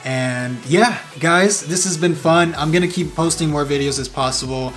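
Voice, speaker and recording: A man's voice: on the high side, normal speed, a bit bright. A young man with a Spanish accent, Spanish his first language, talking casually as a travel vlogger, full of fillers and upbeat asides. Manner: chatty, filler-heavy, upbeat. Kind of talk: casual vlog narration. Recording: music playing over the voice.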